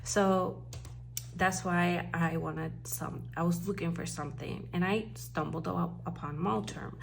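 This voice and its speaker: A Puerto Rican woman with a slightly low, gravelly voice talks her way through a planner unboxing. She rambles and corrects herself as she goes. A steady hum and background noise sit under the home recording. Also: unhurried. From Puerto Rico. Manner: chatty, rambling, self-correcting. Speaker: planner vlogger, stay-at-home mom. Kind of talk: casual unboxing vlog.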